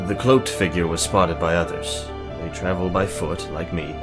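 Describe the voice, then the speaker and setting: A man playing an earnest, awkward young mage in a visual novel, explaining things in a formal, measured way. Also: sits low, steady tone, close to an everyday voice. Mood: grave. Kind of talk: visual novel character acting. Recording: music bed behind the voice.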